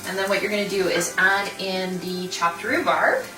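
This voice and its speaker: A woman presents a cooking demonstration, walking through each step like an instructor. Her voice sits at a medium pitch. It is picked up from a distance in an echoey room, with music and kitchen sounds behind her. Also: steady tone, moderate pace. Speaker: food designer and cooking host. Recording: roomy echo, distant mic, music behind.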